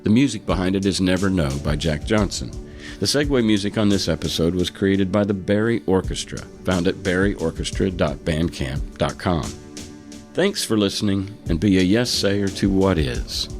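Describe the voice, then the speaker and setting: A man's voice: low voice, moderate pace, soft background music. A man with an American accent reading a scripted podcast introduction as the host.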